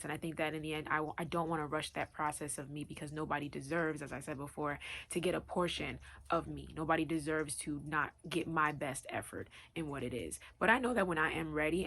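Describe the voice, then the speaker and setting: A young woman from Long Island with a New York accent, a podcast host with a deep, raspy voice, talking to her listeners in an earnest, motivational way. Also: fairly bright tone. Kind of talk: casual solo podcast talk.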